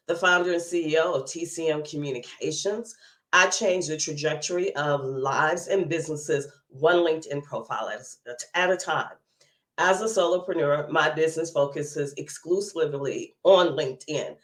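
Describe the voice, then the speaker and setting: A woman with a hoarse, cold-roughened voice hosting a live news overview and chatting with viewers. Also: low-pitched, roomy sound. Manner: chatty, greets viewers by name. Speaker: host.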